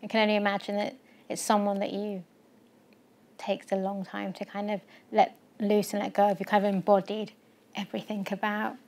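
A woman in her thirties interviewing a guest on stage, asking gentle conversational questions. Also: medium pitch, completely flat delivery, normal speed. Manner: gentle, conversational, uses fillers like "kind of". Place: stage of a small theater.

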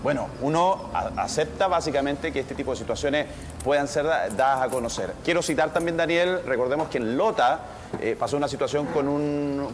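A man giving measured, formal commentary as a studio news anchor. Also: fairly high pitch, long reverb tail, noisy background.

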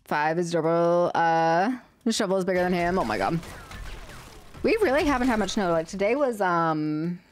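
A woman's voice: lowish voice, moderate pace, slight background noise. A young woman streaming a game from home, chatting to herself as she builds, her voice a little worn. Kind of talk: casual gameplay commentary.

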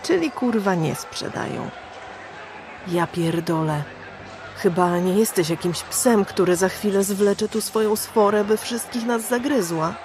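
An actress playing a brash, crude, streetwise prostitute in a dystopian audio drama, mocking and slangy. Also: female voice, medium pitch, measured pace, lightly acted. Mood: hostile, suspicious. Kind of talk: radio drama acting.

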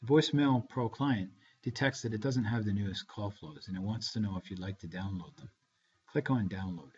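A man narrating a step-by-step software tutorial in a measured, instructional way. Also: fairly low pitch, husky. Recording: dull, lo-fi screencast voice-over.

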